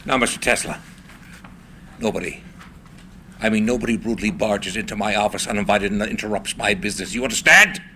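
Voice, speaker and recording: A man's voice: fairly low pitch, brisk, pauses often, pushed and bright, slight background noise. A man playing a scornful, rich financier, curt and haughty, in a dramatic screenplay reading. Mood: angry, scornful. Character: imperious, scornful, rich male financier, hard-nosed businessman.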